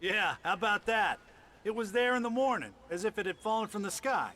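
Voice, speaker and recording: A folksy small-town fellow in a mystery game, skeptical and animated. His voice sits high and swings widely, over faint outdoor ambience. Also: brisk, slight background noise. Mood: skeptical, chatty.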